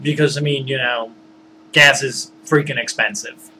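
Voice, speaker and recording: A man casually recounting his tabletop games as game master, rambling and informal, animated when he acts out what people said. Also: medium pitch, normal speed. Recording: noisy background.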